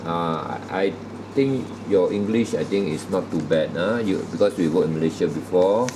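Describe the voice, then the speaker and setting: A man's voice: low-pitched, normal speed, noisy background. A man conducting a job interview, repeating his questions and tagging sentences with huh and lah. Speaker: job interviewer screening domestic workers.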